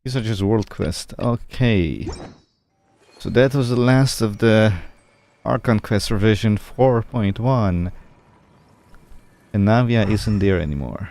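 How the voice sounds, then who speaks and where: A man's voice: on the low side, slow, pauses often, darkish tone. A man giving casual gameplay commentary, thinking aloud in a loose, rambling way as he streams. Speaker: game streamer.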